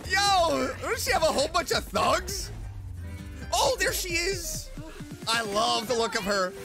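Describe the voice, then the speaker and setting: A reaction streamer gushing over a game trailer with loud exclamations and frequent laughter. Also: extremely high pitch, unhurried, creaky, music in the background. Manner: excitable, laughs often, exclaims loudly. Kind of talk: casual reaction commentary.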